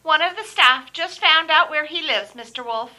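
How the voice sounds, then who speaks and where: An actress playing a polite, eager, proper receptionist in an old time radio mystery. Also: female voice, sits high, expressive, moderate pace, pushed and bright, full character voice. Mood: polite, helpful.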